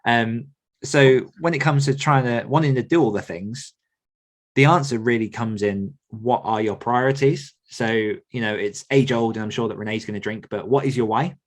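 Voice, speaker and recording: A man's voice: mid-range voice, moderate pace. A man answering questions conversationally as an author coach, reflective and earnest, often circling back to rephrase himself.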